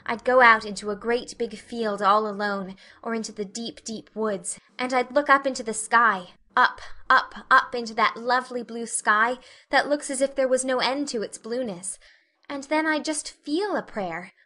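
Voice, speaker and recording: A woman's voice: a little high, moderate pace, bright, forceful tone. A woman reading an audiobook, voicing an eager, imaginative young girl who rambles earnestly. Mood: dreamy.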